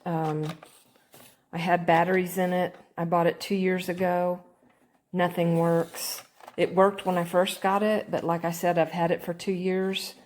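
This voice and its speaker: A woman's voice: fairly low pitch, level tone, measured pace, a little mellow. A woman giving a casual customer review in a matter-of-fact manner. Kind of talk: casual spoken complaint review. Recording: faintly noisy amateur recording with clicks.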